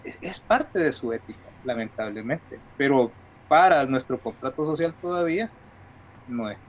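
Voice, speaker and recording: A man's voice: medium pitch, expressive, normal speed. A man from El Salvador with a Salvadoran accent, talking as an interview guest in a colloquial, reflective way and explaining with examples. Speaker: guest writer. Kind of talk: conversational interview talk.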